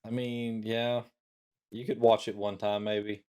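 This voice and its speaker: Low-voiced man, casual co-host. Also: flat delivery, measured pace, darkish tone, clean tone. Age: middle-aged. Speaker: talk show co-host. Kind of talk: casual chat.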